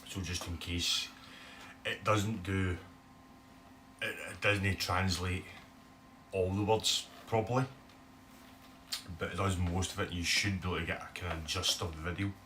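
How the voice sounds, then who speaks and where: A low-pitched man with a broad Scottish accent chats to a phone camera. His talk rambles along with frequent "eh" fillers and has a bright, forceful edge. The phone sits a little away from him, and faint room noise runs underneath. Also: fairly even intonation, a little slow, pauses often, distant mic. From Scotland. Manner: chatty, rambling, many "eh" fillers. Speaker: bass guitar YouTuber.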